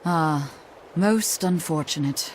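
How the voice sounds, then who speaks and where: Calm lore expert lady in a fantasy game, lowish voice, measured. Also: slight background noise. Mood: calm, explanatory. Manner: measured, explanatory. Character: calm, scholarly, knowing female expert.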